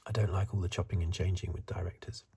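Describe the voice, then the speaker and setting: Breathy, low-voiced man, soft ASMR. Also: steady tone, quick pace. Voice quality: breathy, husky. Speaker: ASMR reviewer. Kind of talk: soft-spoken whispered ASMR commentary.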